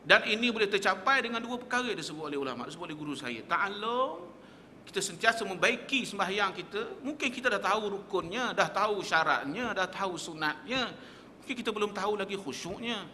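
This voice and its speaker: A man's voice: sits high, expressive, a little fast, pushed and bright, a little hiss or hum. Male religious teacher, lecturing in a small mosque hall with a long reverb; a tense, pushing delivery. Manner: emphatic, rhetorical questions, quotes Arabic texts.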